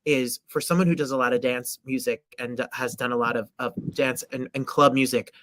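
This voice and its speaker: A man's voice: mid-range voice, fairly even intonation, fairly quick, fairly bright tone, dry recording. Effusive middle-aged male host. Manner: effusive and gushing with praise. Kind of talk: casual interview chat.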